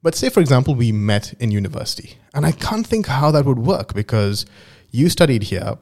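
A man's voice: mid-range voice, very animated, a little fast, darkish tone. A young man who grew up in Dubai, hosting a podcast and telling an imagined story in a meandering, speculative way. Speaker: podcast host. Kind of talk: casual podcast monologue.

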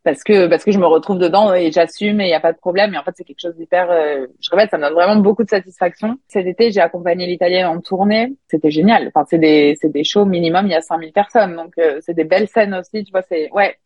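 A woman's voice: on the low side, quick pace, hardly any pauses, a little mellow, no room sound. A woman singer and songwriter, a native French speaker, chatting casually in an interview with frequent restarts. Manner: chatty, many restarts and fillers.

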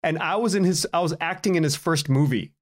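A man's voice: a little high, brisk. A man, a podcast guest telling anecdotes in a casual, self-deprecating way.

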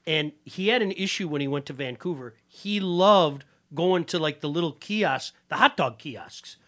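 Man co-host with a higher voice. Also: animated, normal speed, a bit bright. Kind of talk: casual interview chat.

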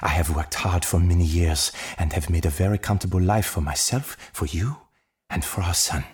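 A man's voice: deep voice, normal speed, darkish tone, breathy edge. An actor playing a proud, controlling husband and father in an audio drama. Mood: stern, defensive.

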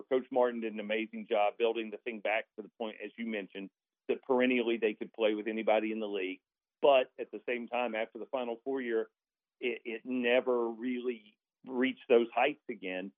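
A man's voice: medium pitch, fairly even intonation, brisk, dry recording. A man, a sports announcer appearing as a guest, talking through basketball analysis in a talkative, conversational way with folksy, emphatic asides. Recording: tinny phone-like line.

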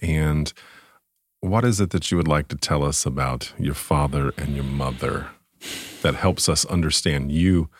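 A man's voice: very deep voice, unhurried, slightly rough, no echo. A man hosting a podcast, interviewing a guest in a conversational style.

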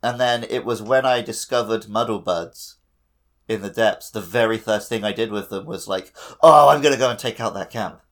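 A man's voice: lowish voice, normal speed. A man chatting casually about a video game as a podcast co-host.

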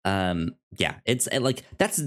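A man co-hosting a casual TV review podcast, talking in a halting way with frequent stammers and restarted phrases. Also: deep voice, big pitch swings, fairly bright tone. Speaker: podcast co-host.

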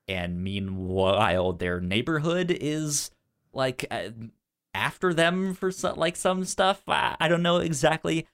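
A man co-hosting a casual movie podcast, speaking in a halting way with stammered, repeated syllables. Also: sits low, vocal fry, a bit bright, clean tone. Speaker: podcast co-host.

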